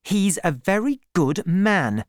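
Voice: mid-range voice, big pitch swings, a little slow, smooth, no room sound, studio quality, pitched above the performer's own voice. A reader voicing a proud, fiery young woman farmer with emphatic, emotional delivery in a storybook. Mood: defensive.

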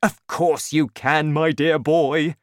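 A woman voicing an excitable, bossy middle-aged father in a children's storybook, exclaiming emphatically and insistently. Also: rumbling low, drawn-out words, no echo, clean studio sound, heavily acted. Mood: excited, insistent.

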